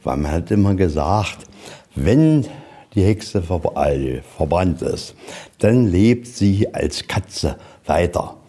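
Low-pitched, mellow-voiced man guiding a tour. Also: big pitch swings, slow. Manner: plain-spoken, colloquial, step-by-step explaining. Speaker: museum guide. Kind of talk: explaining exhibits on a guided tour. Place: inside a museum, on location.

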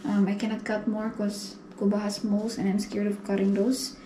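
A woman's voice: medium pitch, little pitch movement, normal speed, dark tone. A young woman from the Philippines, Filipino her first language, chatting playfully and giggling in a casual home vlog. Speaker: vlogger. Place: small home living room and bathroom, indoors. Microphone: handheld camera at arm's length. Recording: noisy home camera audio.

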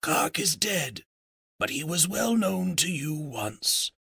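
A man voicing a decrepit, wise old raven chief in a storybook, croaking out solemn, formal counsel. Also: on the high side, big pitch swings, pushed and bright, dry recording, studio quality, voice pushed up, exaggerated voice. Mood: solemn, feeble. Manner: slow, formal, archaic counsel.

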